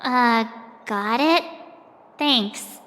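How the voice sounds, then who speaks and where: A woman voicing a perky, easygoing teenage girl adventurer in a video game, casual, expressive and a little sheepish. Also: on the high side, extremely slow, slight background noise, exaggerated voice. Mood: casual, resolved. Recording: long hall reverb, game music behind. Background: game music.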